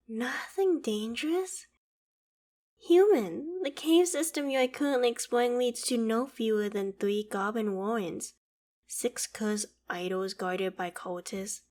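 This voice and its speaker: Woman acting as ancient female dragon, haughty, imperious, archaic formal diction, theatrical audio roleplay, mid-pitched voice. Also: a little mellow, close to an everyday voice. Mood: incredulous, stern. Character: proud ancient female dragon, haughty but fond of humans. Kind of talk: scripted audio roleplay monologue.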